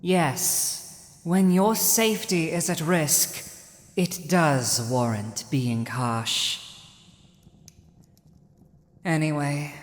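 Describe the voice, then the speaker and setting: A woman playing a villainous, protective, domineering dragon ruler in an ASMR roleplay drama, commanding and deliberate. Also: deep voice. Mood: grudging, menacing. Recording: echoey hall, long reverb.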